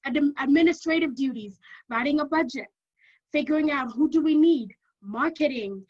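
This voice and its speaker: A woman with a Kenyan accent giving an energetic informational talk to prospective students, often checking in with "okay?". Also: sits high, moderate pace, muffled recording. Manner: energetic, keeps checking in with "okay?", repeats for emphasis. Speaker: university lecturer.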